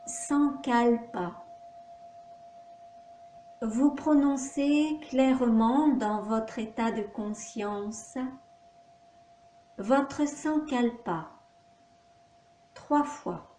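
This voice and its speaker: A woman teaching yoga nidra, leading a guided relaxation with calm, measured instructions and long pauses. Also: a little high, a little hiss or hum. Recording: echoey room.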